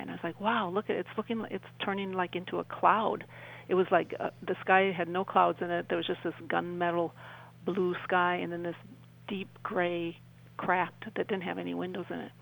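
An older woman, around her sixties, talking as an interview guest in a halting, rambling way and often rephrasing mid-sentence. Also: on the low side, little pitch movement, vocal fry, rough recording. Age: older, about 60s. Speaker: interview guest, UFO experiencer. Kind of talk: conversational radio interview storytelling.

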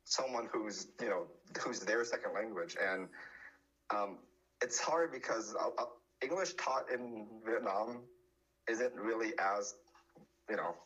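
A man's voice: fairly low pitch, measured pace, a bit bright, slightly rough, rough recording. A man chatting casually as a podcast guest, often restarting his sentences. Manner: hesitant, many restarts and fillers.

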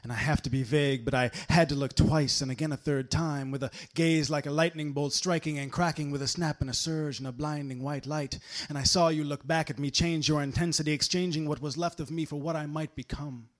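A man's voice: a little high, fairly even intonation, a little fast, hardly any pauses. Earnest, lyrical male slam poet. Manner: earnest, lyrical, built on a repeated refrain. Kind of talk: performed slam poetry. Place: live poetry slam venue.

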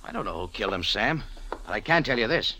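A tough, wisecracking American man in an old-time radio detective serial. His delivery is forceful and very animated, with a hard-boiled edge. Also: fairly low pitch, fast talker. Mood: guarded, sardonic. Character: wry, tough American café owner turned sleuth, male. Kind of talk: 1940s radio acting, first-person narration and dialogue. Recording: vintage radio recording.

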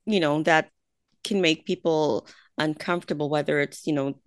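A woman hosting a show, interviewing a guest and asking questions in a halting way with pauses and fillers. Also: low-pitched, steady tone, no room sound, studio quality. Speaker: radio show host.

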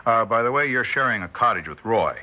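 An actor playing a calm, affable senior male scientist in a 1960s radio drama, measured and explanatory. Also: medium pitch, lightly acted. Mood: calm, businesslike. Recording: muffled 1960s radio recording.

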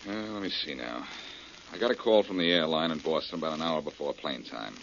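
An actor playing a confident, wry detective with an assertive manner, in 1940s radio drama style. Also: male voice, very deep voice, expressive, a little fast, a bit bright, slight background noise, heavily acted. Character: confident, wry male amateur detective hero. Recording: dull old radio recording.

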